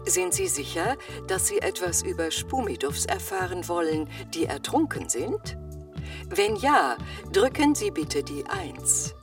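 A woman playing a formal automated menu voice in a children's radio play, measured and officious. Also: on the low side. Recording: distant voice over clear music.

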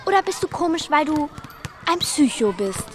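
A woman's voice: high-pitched, big pitch swings, normal speed, a little hiss or hum. A female performer playing a cheeky schoolgirl who taunts and provokes in a bratty way, in a radio play. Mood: taunting.

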